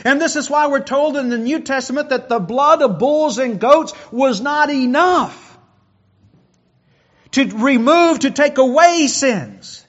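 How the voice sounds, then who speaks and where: A man's voice: extremely high pitch, measured pace, fairly bright tone, dull audio. A man preaching a sermon who often repeats words as he builds a point.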